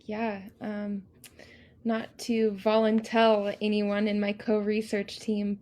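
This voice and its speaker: A woman's voice: mid-range voice, darkish tone. A woman hosting an unscripted panel, speaking earnestly and somewhat formally as she introduces colleagues. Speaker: host, student researcher.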